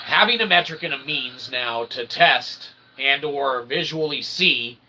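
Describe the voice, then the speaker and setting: A man's voice: medium pitch, a little slow. A man giving a rambling, informal monologue as a channel host, verbose and repetitive, with mock-grandiose convoluted phrasing and frequent check-in tags. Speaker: host of an independent online channel. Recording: clear background ambient noise.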